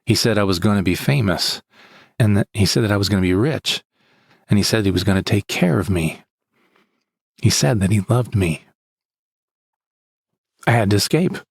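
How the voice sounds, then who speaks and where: A man's voice: fairly low pitch, lively intonation, normal speed, gravelly, pauses often, slightly rough, no room sound, studio quality, heavily acted. A man playing a frightened, bitter victim who recounts his ordeal in plain, short sentences for an audio drama monologue. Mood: distressed, then grave recounting. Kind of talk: first-person scripted audio drama monologue.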